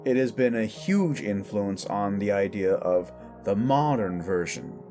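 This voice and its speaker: A man's voice: on the low side, expressive, measured pace, mellow, faint music behind. A man hosting his own audio channel, chatting in a rambling, enthusiastic, casual outro. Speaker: audio creator and host.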